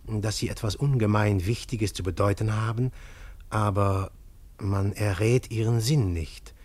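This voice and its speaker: A skeptical poet with a cool, amused wit. His voice sits a little low and sounds close and dry, as in a 1950s studio radio drama. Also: dry recording. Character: ironic young male poet, urbane and skeptical. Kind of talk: 1950s radio play acting and narration.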